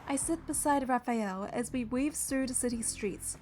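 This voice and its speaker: A woman's voice: a little high, moderate pace, background noise, close to an everyday voice. A woman voicing a young romance game heroine, narrating and speaking in a composed, scripted way. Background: music.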